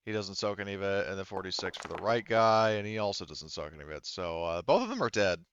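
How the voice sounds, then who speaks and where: A man narrating a tabletop roleplaying game as game master, in a casual, halting, informal way. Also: low voice, animated, a bit bright, muffled recording, slight background noise.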